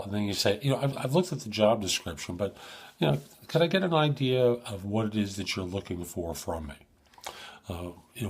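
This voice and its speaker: A man hosting an advice show in an informal, conversational coaching manner, with a husky, slightly rough voice. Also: lowish voice, darkish tone. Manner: informal, emphatic coaching, acts out sample questions. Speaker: host of a job search advice show.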